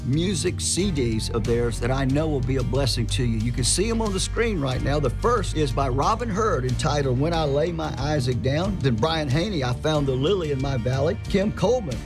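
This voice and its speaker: Higher-pitched man pitching over music. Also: expressive, moderate pace, hardly any pauses. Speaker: TV presenter promoting music CDs. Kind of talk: promotional TV pitch. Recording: music playing behind the voice.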